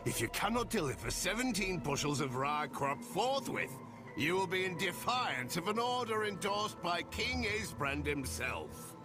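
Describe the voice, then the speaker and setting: Haughty male game official, formal, dismissive, very lively intonation, higher register. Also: unhurried, music in the background. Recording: loud game score behind.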